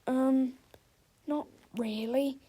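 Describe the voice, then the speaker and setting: A woman reading a children's storybook as an eager, soft-hearted, rather sheepish schoolboy. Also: high-pitched, fairly even intonation, very slow, husky, voice pushed up, full character voice. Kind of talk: children's storybook read-aloud.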